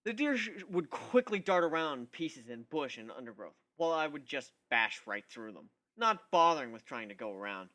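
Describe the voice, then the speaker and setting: A cocky young male griffin narrates his own tale , acted out by a man. The delivery is bright, forceful and highly animated, with emphatic, introspective storytelling in a dramatic fan fiction style. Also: moderate pace, few pauses. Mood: intense, proud. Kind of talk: dramatic first-person fan fiction reading.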